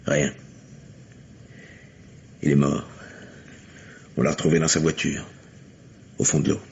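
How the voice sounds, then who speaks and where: An actor playing a steady, commanding police lieutenant with a measured delivery in an old-fashioned French radio mystery. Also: male voice, a bass voice, gravelly, full character voice. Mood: sober, reporting. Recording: dull, noisy old radio recording.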